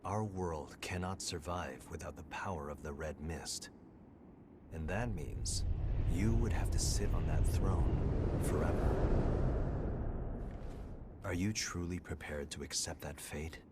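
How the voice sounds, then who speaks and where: Game role: a solemn man with a very deep voice, formal and deliberate, heavy background noise. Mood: grave. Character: grave, solemn man warning of a fateful sacrifice. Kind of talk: dramatic video game voice acting.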